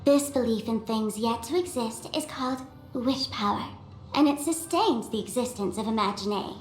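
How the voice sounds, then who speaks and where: A woman's voice: a little high, normal speed, lightly acted. A woman playing a brash, playful young tour guide in a video game, with a theatrical, teasing manner. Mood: storytelling, showy. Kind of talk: animated video game character dialogue. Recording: roomy sound over background music.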